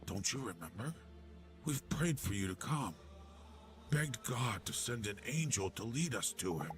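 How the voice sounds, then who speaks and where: A man voicing a fervent male cult devotee who speaks in a hushed, half-whispered way. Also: medium pitch, very animated, measured pace, over music. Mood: reverent.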